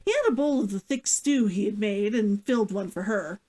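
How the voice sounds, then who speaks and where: A woman's voice: medium pitch, animated, moderate pace, warm tone. A woman narrating a fiction story aloud as the author hosting a read-along.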